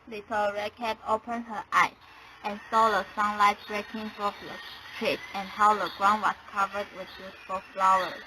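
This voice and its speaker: A woman's voice: mid-range voice, level tone, normal speed, noisy background. A young woman reading scripted storybook narration.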